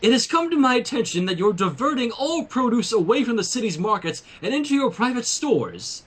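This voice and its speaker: Man putting on a shrill, officious little stallion noble. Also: very animated, brisk, husky, exaggerated voice. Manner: formal, officious.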